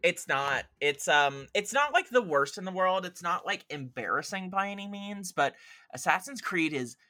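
A man's voice: sits high, lively intonation, normal speed, bright, forceful tone, no echo, clean studio sound. A man talking casually and enthusiastically about films and games on a podcast, in a rambling, informal way. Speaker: podcast speaker. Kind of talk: casual film and video game discussion.

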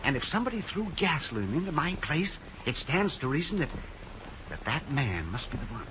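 Flustered prim american club owner, old radio drama, highish voice going up and down, muffled audio. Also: male voice, sing-song, fairly quick. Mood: uneasy. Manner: hesitant, flustered, fussy, swooping emphasis. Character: nervous, genteel older male club owner, evasive and prim. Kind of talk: 1950s radio drama acting. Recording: muffled, hissy 1950s radio recording.

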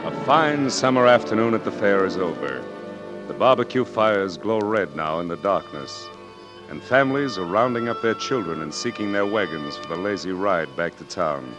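Low-voiced dramatic radio narrator, a man, music behind. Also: expressive, normal speed. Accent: American. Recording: vintage 1940s radio broadcast. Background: loud dramatic music.

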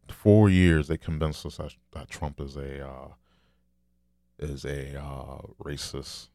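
A man with a deep, low, soft voice, talking candidly and rambling in a casual solo monologue, swearing now and then. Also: no room sound, studio quality.

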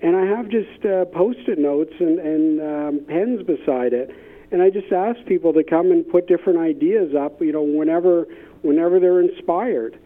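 A narrow, phone-like line carries a man's somewhat high voice. He speaks as a consultant being interviewed, explaining things conversationally. His phrasing halts and doubles back on words as he goes. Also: moderate pace. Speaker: interview guest, business innovation consultant. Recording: tinny, band-limited phone-like line.

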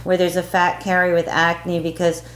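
A woman calling in to a podcast with casual chat, hesitant and full of ums and pauses. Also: lowish voice, monotone, fairly bright tone. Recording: roomy echo, faint noise and hum.

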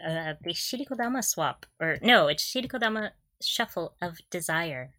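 A woman's voice: on the low side, expressive, normal speed, sharp tone, dry recording, studio quality. A woman co-hosting a casual fan podcast, recapping with gushing enthusiasm and frequent giggles. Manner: giggly, often breaking into laughter. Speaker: podcast co-host.

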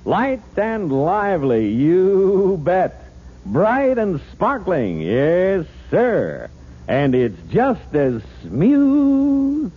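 An exuberant pitchman in a 1940s radio ad, high-voiced and extremely slow, drawing out each phrase. The recording is tinny. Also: huge pitch swings, slight background noise, full character voice. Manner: drawn-out, exuberant. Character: enthusiastic jingle-style beer pitchman.

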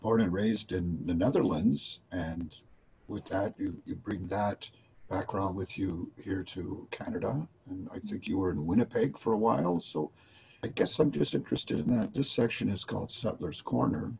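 A man hosting a podcast, asking a guest polite, measured questions. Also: deep voice, vocal fry, darkish tone, a little hiss or hum. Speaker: podcast host. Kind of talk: interview hosting.

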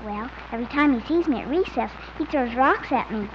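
A woman's voice: a little high, lively intonation, a little fast, close to an everyday voice. A woman playing an earnest, polite, lovestruck little schoolgirl in a 1950s radio comedy. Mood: shy, earnest. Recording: noisy, dull 1950s radio recording.

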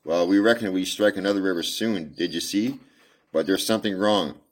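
A man reading the part of a weary frontier man, gentle with a child, in a folksy drawl. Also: low voice, a little fast, pitched above the performer's own voice. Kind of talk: amateur audiobook reading.

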